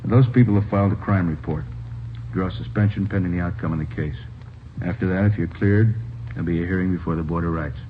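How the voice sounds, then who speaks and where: A man's voice: sits low, fast talker, lightly acted. A man acting a firm, formal, by-the-book senior police officer in an old radio drama, on a tinny, hissy recording. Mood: stern.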